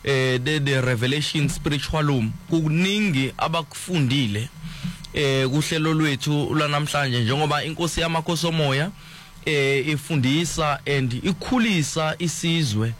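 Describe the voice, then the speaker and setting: A man's voice: on the high side, normal speed, fairly bright tone, a little hiss or hum. A man hosting a radio programme and thanking his guest in a formal manner. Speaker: radio host.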